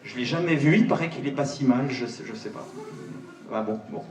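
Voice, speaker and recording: A man's voice: mid-range voice, fairly quick, faint music behind. A man chatting casually on a panel in a colloquial, tentative way, often unsure of himself. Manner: tentative, colloquial, self-doubting. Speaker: voice actor on a panel. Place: large cinema auditorium. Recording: echoey hall, distant mic, faint noise.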